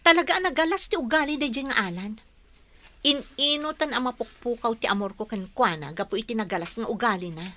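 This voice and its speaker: A woman's voice: a little high, expressive, fairly quick, pushed and bright. A woman with a northern Philippine accent acting a disgruntled young village woman in a comedy drama.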